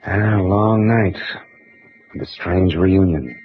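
An actor playing a world-weary private detective, narrating laconically in a 1950s radio drama. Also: male voice, low voice, slow pace, slightly rough, heavily acted. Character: hard-boiled male private eye, world-weary, wry. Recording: dull old radio recording.

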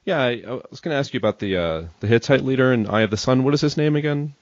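A man's voice: mid-range voice, expressive, fast talker, dry recording. A man hosting an interview podcast, asking casual unscripted questions in a tentative, roundabout way. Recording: dry but dull, lo-fi audio.

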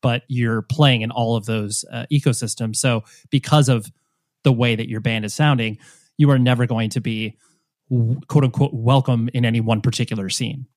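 A man's voice: mid-range voice, steady tone, moderate pace, darkish tone. A man hosting a podcast interview, talking in long rambling turns full of restarts and fillers. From United States. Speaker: podcast host. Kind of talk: casual interview chat.

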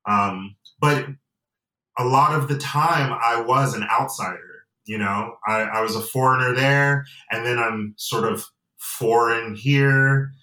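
A young man from Atlanta and Nigeria, a guest in a casual interview, talking reflectively with many pauses and fillers. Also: mid-range voice, bright, forceful tone, far from the mic. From United States and Nigeria. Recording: roomy and off-mic.

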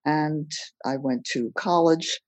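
Older woman, husky low-ish voice, telling her story slow and steady, dry close mic. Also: dry recording. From Belgium. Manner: measured, plain-spoken storytelling. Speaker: guest. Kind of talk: personal storytelling in a podcast interview.

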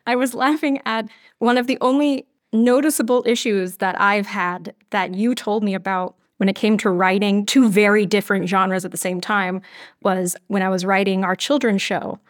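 Female writer as podcast guest, casual conversational delivery, mid-range pitch, very dry recording. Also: fairly even intonation, moderate pace, no echo.

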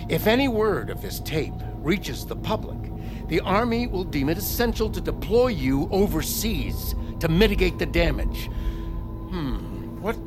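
An actor playing an impatient, sarcastic and commanding army corporal in an audio drama, with a clipped, threatening delivery. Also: male voice, sits high, sing-song, a little slow, over music. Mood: menacing.

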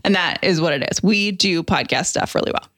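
A woman's voice: lowish voice, brisk, a bit bright. A woman co-hosting a podcast, chatting in an informal, conversational and self-deprecating way. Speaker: podcast co-host. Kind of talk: casual conversational podcast chat.

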